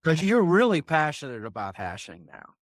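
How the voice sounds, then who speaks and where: Man, podcast host, relaxed questions, casual interview, dry sound. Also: fairly high pitch, normal speed, no echo.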